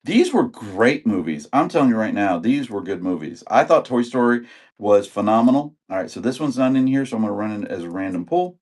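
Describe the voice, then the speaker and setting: A man's voice: on the low side, very animated, brisk, darkish tone. A man in his sixties, getting over an illness, chatting casually and rambling as he sells videotapes on a live auction stream and greets viewers by name. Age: 60s. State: recovering from illness.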